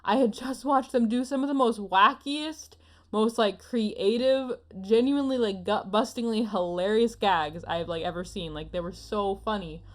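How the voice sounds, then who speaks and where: A woman with a mid-pitched voice talks casually as a podcast co-host. She is effusive and leans hard on her words. Her thoughts ramble along in an opinionated, conversational flow. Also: moderate pace.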